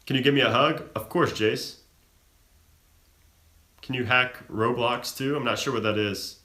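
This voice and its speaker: A man's voice: medium pitch, a little slow, bright, forceful tone, echoey room. A young man from the American South, hosting a live stream and reading viewer questions aloud before answering them offhand. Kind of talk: casual live Q&A chat.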